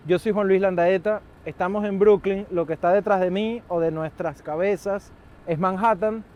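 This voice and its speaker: A man's voice: high voice, fairly even intonation, darkish tone, highs cut off. A man hosting an interview outdoors on a rooftop, friendly and talkative. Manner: friendly, talkative, quick to joke. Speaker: host. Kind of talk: casual interview.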